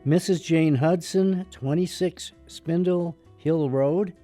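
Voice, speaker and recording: An actor playing a brusque, sardonic attorney with a soft heart in a radio drama. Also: male voice, a little high, takes it slowly, soft background music. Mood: brusque, official.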